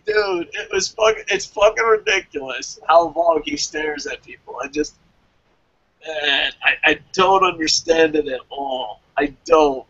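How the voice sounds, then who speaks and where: A man's voice: a little high, unhurried, vocal fry, a bit bright, dull audio. A man in casual, profane banter as co-host of a review show, ranting in exasperation and swearing often.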